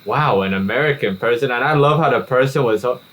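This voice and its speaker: A man chatting on a cigar podcast in an unhurried, laid-back way, drawing out his exclamations. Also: mid-range voice, a bit bright. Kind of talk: casual chat. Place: relaxed cigar-smoking hangout with friends.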